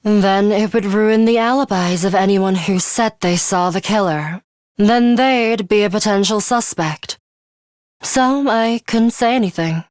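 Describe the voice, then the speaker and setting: A woman voicing a stern, composed young student fighter in a video game, speaking in a measured, serious way. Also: mid-range voice, a little mellow, no echo, close to an everyday voice. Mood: serious, controlled. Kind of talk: English video game character voice lines.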